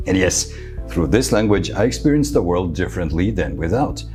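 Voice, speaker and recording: A man from Serbia whose first language is Serbo-Croatian, giving a measured, scripted talk as a presenter. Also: low voice, very animated, a little mellow, faint music behind. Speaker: futurist presenter.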